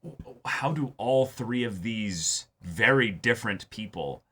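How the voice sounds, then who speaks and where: A man co-hosting a casual discussion of a TV episode, talking in a halting way and often repeating words as he thinks aloud. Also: fairly low pitch, expressive, bright, forceful tone, husky. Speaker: co-host of a reaction show.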